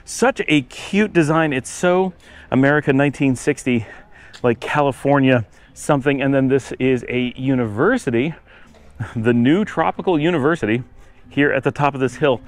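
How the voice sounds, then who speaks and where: A man narrating a casual walking-tour vlog outdoors, rambling and thinking aloud as he goes. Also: fairly high pitch. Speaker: travel vlogger. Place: outdoors, walking on residential city streets.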